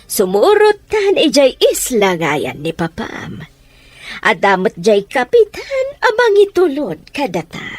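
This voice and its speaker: A rich, bossy matriarch schemes in a radio serial. She talks slowly and emphatically, with extreme melodramatic swings in pitch over light music. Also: wildly swooping pitch, heavily acted. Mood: scheming, assured. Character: wealthy scheming mother, bossy, planning to seize island. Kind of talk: radio drama acting.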